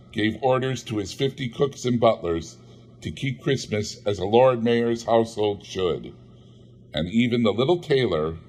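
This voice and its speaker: A man narrates a classic novel with a steady, measured storytelling delivery. His voice sits low, and he reads the long descriptive passages at an even pace. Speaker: audiobook reader.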